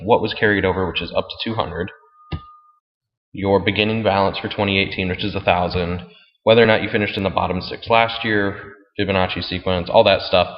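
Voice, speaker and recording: A man giving a casual, plainspoken step-by-step tutorial as he walks through a screen. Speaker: fantasy football league commissioner. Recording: muffled, boomy room with long reverb.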